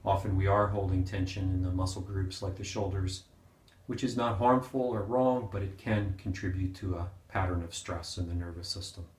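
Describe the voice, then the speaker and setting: A man with a fairly low voice leads a guided meditation in a clearly echoing room. He gives gentle, unhurried instructions and leaves pauses between short phrases. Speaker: meditation teacher. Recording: roomy, echoing sound.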